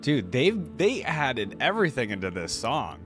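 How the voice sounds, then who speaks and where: A man's voice: medium pitch, big pitch swings, a little slow, a bit bright. A man hosting a reaction video, giving casual, exclamatory commentary and repeating words for emphasis. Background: music playing behind the voice.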